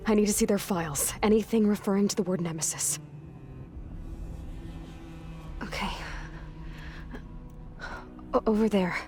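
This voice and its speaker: A woman playing a determined young warrior in a video game, earnest and guarded, often dropping into a hushed, intent delivery. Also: mid-range voice, moderate pace, natural voice. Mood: concerned, urgent. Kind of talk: video game cutscene acting. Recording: background music.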